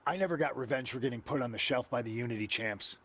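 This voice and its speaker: A man's voice: medium pitch, fast, a bit bright, slightly rough. A man playing a determined, indignant wrestler bent on revenge in scripted video game dialogue.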